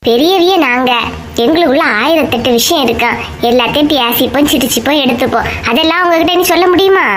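A woman's voice: high voice, quick pace, slight background noise, lightly acted. A woman voicing a bustling, chatty village mother in a comedy cartoon, with colloquial Kanyakumari Tamil dialect and a nagging manner. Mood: coaxing.

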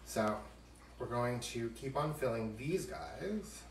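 A man's voice: mid-range voice, animated, slow pace. A man hosting a casual live cooking demonstration, chatty and joking with campy asides. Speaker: cooking show host. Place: home kitchen, indoors. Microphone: camera a few steps away. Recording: echoey, distant, noisy kitchen with dish clatter.

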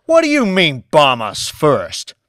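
A man's voice: fairly high pitch, wildly swooping pitch, slow, a bit bright, no room sound, studio quality, voice pushed up, heavily acted. A man voicing a brash, foul-mouthed American leader in an audiobook, blustering and slangy in incredulous exclamations. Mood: defiant, alarmed.

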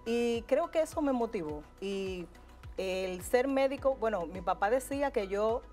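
A middle-aged Dominican woman, a urologist, chatting warmly as a guest in a TV studio interview. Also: a little high, animated, a little slow, soft background music. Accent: Dominican Spanish. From Dominican Republic. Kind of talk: casual interview chat. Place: TV studio set. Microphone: lapel mic.

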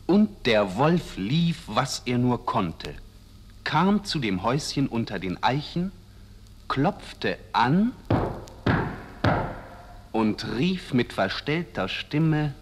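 A man narrating a scripted fairy tale as storyteller, in a measured and expressive manner. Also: mid-range voice, slightly rough.

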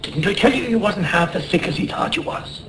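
A man's voice: high voice, lively intonation, a little fast, fairly bright tone, poor audio. A loyal, fiery sailor in a radio play. He is anxious, protective and emphatic, and the recording has faint noise behind him. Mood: hearty reassurance. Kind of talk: radio drama acting.

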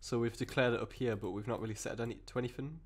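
A man with a cold and a croaky voice, explaining code step by step in a rambling way with many fillers and restarts. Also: medium pitch, fairly even intonation, very fast. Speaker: programming tutor. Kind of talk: screen-recorded coding tutorial.

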